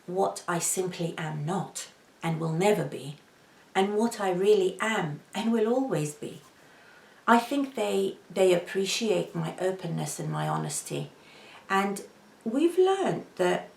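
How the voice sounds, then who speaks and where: A middle-aged woman with a very soft voice, talking gently and slowly to the camera from her home as a vlogger. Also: mid-range voice, vocal fry. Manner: speaks slowly and gently, gestures with both hands. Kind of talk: reflective personal talk to camera. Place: home living room, indoors. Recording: roomy echo.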